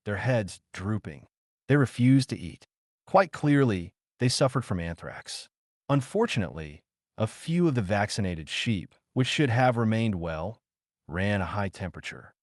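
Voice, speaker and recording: A man reading a biography aloud as an audiobook narrator, in an expressive, clearly enunciated storytelling manner. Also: fairly low pitch, dry recording, studio quality.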